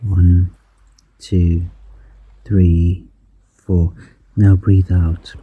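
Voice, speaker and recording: A man guiding a breathing meditation in a slow, soft-spoken manner, counting the breaths aloud and dropping some counts to a whisper. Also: low voice, little pitch movement, slightly rough, narrow, tinny audio. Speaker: meditation guide.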